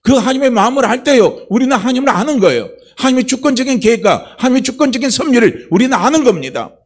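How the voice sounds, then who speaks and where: A man's voice: very high voice, very animated, fast talker. A male pastor from Chungcheong preaching a Sunday sermon, asking the congregation rhetorical questions. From Chungcheong, South Korea.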